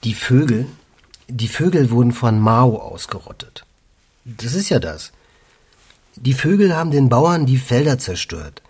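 A man playing an insistent expatriate explaining things over drinks, husky, in naturalistic radio drama. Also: medium pitch, animated, unhurried, long pauses, darkish tone. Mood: insistent, animated.

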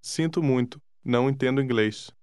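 A man narrating a language course, giving calm, deliberate prompts to listen and repeat. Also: mid-range voice, clear, resonant tone, no echo, studio quality. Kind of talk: scripted instruction.

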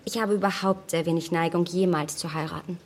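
A woman's voice: fairly low pitch, fairly even intonation, a little fast. A young woman acting a witty, self-assured heiress in a period radio play, poised, articulate and superior in manner.

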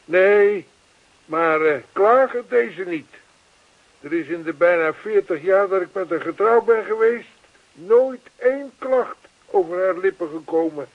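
An actor playing an earnest, lonely old widower in a radio play, talkative and old-fashioned, repeating himself. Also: male voice, sits high, expressive, measured pace, phone-quality sound, heavily acted. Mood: wistful. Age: older.

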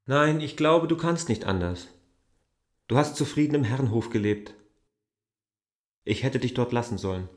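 A man's voice: medium pitch, lively intonation, normal speed, pauses often, no echo, clean studio sound, lightly acted. An actor playing a stubborn, brooding newcomer arguing with his lover in a German radio drama, subdued, intimate and insistent. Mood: gentle, resigned.

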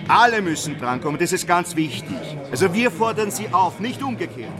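An actress playing a bossy organiser of dance partners, commanding and wry. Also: female voice, fairly low pitch, big pitch swings, brisk. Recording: music behind.

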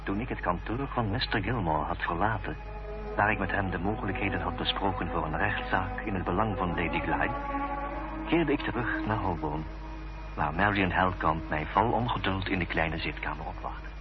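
An actor playing a gentleman narrator recounting a mystery in measured, literary radio drama narration. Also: sits low, over music, close to an everyday voice. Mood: sober, measured. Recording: dull, noisy, humming old radio recording.

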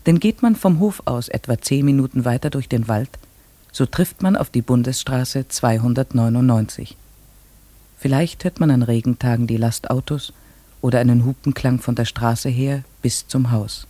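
Low female voice, strict schoolteacher, earnest, measured, precise, classic radio play. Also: dark tone, no room sound. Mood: reflective, measured. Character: earnest, strict village schoolteacher, a woman narrating her memories. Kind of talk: classic German radio play acting, literary narration.

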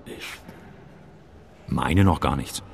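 Deep, gravelly, hesitant profiler. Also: male voice, takes it slowly, roomy sound, a little hiss or hum, full character voice. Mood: uneasy, thoughtful. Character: uneasy, intuitive male profiler, a scholarly doctor. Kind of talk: radio crime drama acting.

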